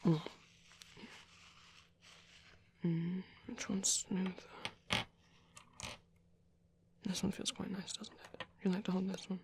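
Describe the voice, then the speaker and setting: A man voicing a gentle, caring vampire priest in an ASMR roleplay, speaking in a soft, breathy whisper with unhurried, hesitant pauses. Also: high voice, steady tone, noisy background. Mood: soothing, reflective. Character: gentle, caring male vampire priest comforting a little one. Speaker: ASMR performer.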